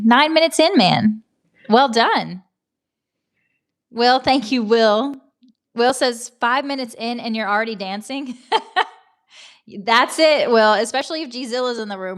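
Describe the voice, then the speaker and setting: A woman in her thirties from Tennessee with a Southern American accent, hosting a casual livestream, chatting, laughing often and greeting viewers by name. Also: mid-range voice. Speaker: livestream host. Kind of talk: casual chat reading comments.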